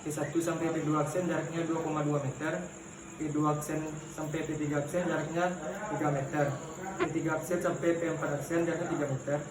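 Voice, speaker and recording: A young man reading out a surveying report, reciting measurements and calculations in a measured way with small restarts. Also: on the high side. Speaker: student presenting a report. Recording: echoey room, distant mic, noisy background.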